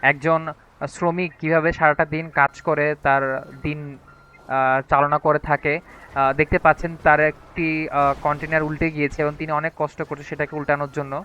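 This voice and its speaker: A man's voice: on the high side, flat delivery, normal speed, long pauses, sharp tone, clean tone, lo-fi sound. A man playing an insistent, questioning TV reporter in an improvised video game roleplay. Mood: mock-serious. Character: pestering male TV reporter demanding unpaid salary.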